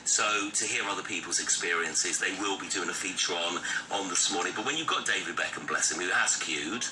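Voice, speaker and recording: A man's voice: sits low, fairly quick, background noise. A man chatting casually and light-heartedly as a newspaper review guest on television. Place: television studio.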